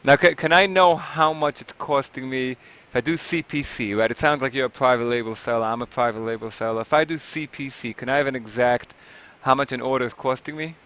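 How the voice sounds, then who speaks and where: A man hosting a casual online interview, friendly and asking quick practical questions. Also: mid-range voice, steady tone, fairly bright tone, smooth. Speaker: interviewer, host.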